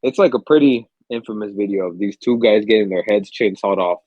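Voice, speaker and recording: A man's voice: on the low side, brisk, a little mellow, no echo, muffled recording. Stuffy young man telling stories. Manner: laid-back, swears freely, rambling storyteller. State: stuffy, under the weather. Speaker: podcast co-host. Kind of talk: casual chat.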